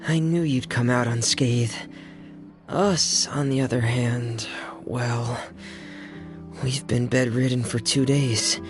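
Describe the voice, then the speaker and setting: An actor voicing a soft-spoken, hesitant young man recovering in bed, quiet and reflective, over game music. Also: medium pitch, steady tone, slow, natural voice. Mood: subdued. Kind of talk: game cutscene voice acting.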